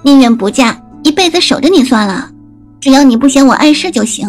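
A very high-voiced young woman from a period audio drama. She is a proud, coy maidservant who speaks with open feeling, over soft music. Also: lively intonation, a little fast. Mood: wry, devoted. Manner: coy, mock-scolding, emotive. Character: young maidservant-companion woman, proud, teasing, resigned in love. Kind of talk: Chinese costume audio drama acting.

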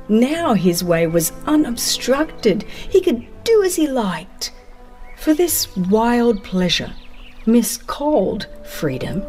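A woman narrating a dramatized religious audiobook in a solemn, deliberate storytelling manner with dramatic pauses. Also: a little high, a little mellow.

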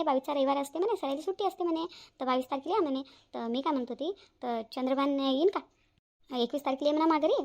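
Cartoon elder sister , woman actor: Varhadi accent, high voice, very rapid, gossipy and put-upon. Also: darkish tone. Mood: chatty, practical. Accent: Varhadi Marathi from Vidarbha. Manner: gossipy, repetitive, complaining. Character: chatty, aggrieved married elder sister on the phone. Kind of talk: Marathi cartoon family-drama dialogue.

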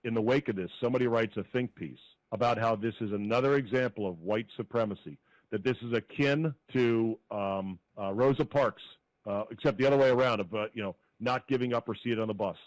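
A man's voice: medium pitch, a little fast, dry recording, poor audio. Man with a dry, close sound.

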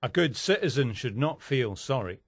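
A woman's voice: rumbling low, lively intonation, slightly rough, no echo, voice pushed down, full character voice. A woman voicing a solemn, bloodthirsty male revolutionary in a storybook reading, grim and gloating. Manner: solemn, slow, eager for blood.

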